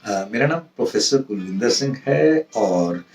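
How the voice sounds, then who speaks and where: A man with an Indian accent giving an informal lesson to viewers as a professor, in a halting way that repeats phrases for emphasis. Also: fairly low pitch, lively intonation, darkish tone. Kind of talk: informal instructional monologue to viewers. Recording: roomy echo, distant mic, music behind.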